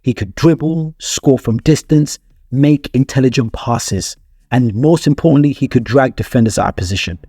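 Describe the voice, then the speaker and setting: A man's voice: medium pitch, moderate pace, mellow, breathy edge, dry recording, clean studio sound. A man delivering scripted sports analysis as a video narrator, with emphatic phrasing and rhetorical questions to the viewer. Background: music.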